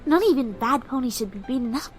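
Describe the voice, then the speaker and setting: A woman voicing a shy, gentle cartoon pony mare who speaks for animals, soft, polite and hesitant. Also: high-pitched, lively intonation, fast talker, soft background music. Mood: hurt, scolding. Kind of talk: cartoon fan audio drama acting.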